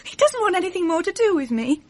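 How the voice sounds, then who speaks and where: A woman's voice: very high voice, sing-song, fast. An actress playing a wounded, accusing young woman, blunt and emotional, in a radio drama. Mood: tearful, accusing.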